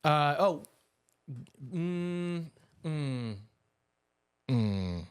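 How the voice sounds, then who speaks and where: Playful man with animated, swinging pitch. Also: very slow, clear, resonant tone, no room sound. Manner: playful, teasing. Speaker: podcast co-host. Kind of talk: casual banter.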